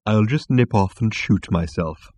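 A man voicing a large, fat, obliging talking cow in an audiobook, with a contented, deferential, lowing delivery. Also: a little slow, mellow, dry recording. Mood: deferential, reassuring. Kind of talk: audiobook comic science fiction character.

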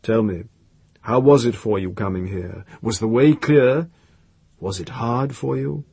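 A grave, gentle master from a storybook, voiced by a man reading aloud. The voice is breathy and close, kind and solicitous, with restrained feeling. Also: mid-range voice, unhurried, dry recording, heavily acted.

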